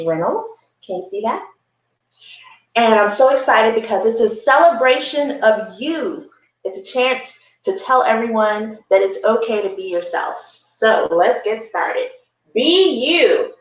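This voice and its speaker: A woman hosting a children's story time, reading a picture book aloud with expressive, encouraging asides and simple explanations. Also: on the high side, echoey room, poor audio.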